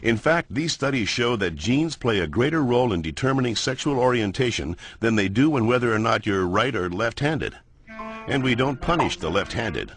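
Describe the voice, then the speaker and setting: A man playing a genial, wry science narrator in an educational cartoon, explaining in measured phrases. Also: medium pitch, animated, husky, no echo. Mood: wry, explanatory. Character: genial male science narrator moderating a debate. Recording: music behind the voice.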